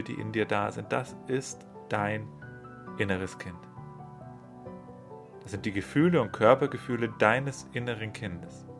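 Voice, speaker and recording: A man leading a guided meditation, giving slow, soothing instructions with long pauses. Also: lowish voice. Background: soft instrumental music.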